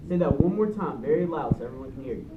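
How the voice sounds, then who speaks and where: A man's voice: a little high, expressive, fast. A man teaching young children during a read-aloud, prompting them with questions and repeating answers back. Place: classroom. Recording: echoey noisy room, distant muffled mic.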